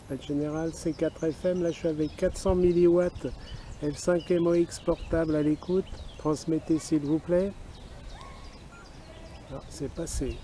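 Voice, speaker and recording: Mellow higher-voiced Frenchman talking outdoors. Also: fairly even intonation, unhurried. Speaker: amateur radio operator filming an outing. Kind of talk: casual outdoor vlog and radio call talk. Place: outdoors in a wooded park. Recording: outdoor ambience, faint background noise.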